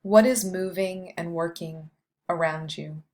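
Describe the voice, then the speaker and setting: Slow, low-voiced woman guiding meditation. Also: a little mellow. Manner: slow, measured delivery with long pauses. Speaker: meditation guide. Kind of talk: guided meditation, scripted poetic narration.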